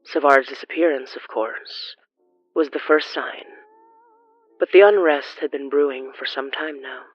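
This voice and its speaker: A narrator telling a gothic horror story in a measured, theatrical, scripted style. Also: medium pitch, steady tone, breathy edge, no echo, dull audio, soft background music.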